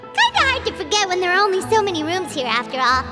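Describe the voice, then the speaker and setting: A woman's voice: very high-pitched, big pitch swings, fast talker, over loud music. An actress voicing a tiny, chatty female companion creature who talks about herself in the third person, in a game cutscene. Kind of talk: game voice acting.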